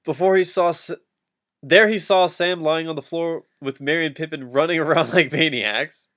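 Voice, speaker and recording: A man's voice: on the high side, steady tone, normal speed, fairly bright tone. A man doing a comic dramatic reading of fan fiction, giggly and often breaking off to heckle the text with asides.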